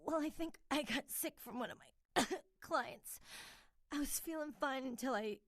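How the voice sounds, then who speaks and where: Sick, high-voiced, faltering call girl. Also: no room sound, clean studio sound. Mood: weak, confused. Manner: halting, faltering, trailing off. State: sick, coughing, weak. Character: sick, feverish call girl, weak and sweetly wistful. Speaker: game character. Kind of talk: video game voice acting.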